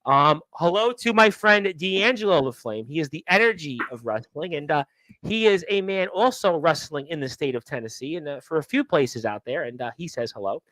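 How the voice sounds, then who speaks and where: A man's voice: a little high, lively intonation, moderate pace, a bit bright, no room sound, rough recording. A man from Brooklyn, hosting a radio show and chatting in a rambling way, greeting listeners as he goes. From Brooklyn, New York. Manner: rambling, chatty, full of uh fillers. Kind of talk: casual chat with shout-outs to listeners.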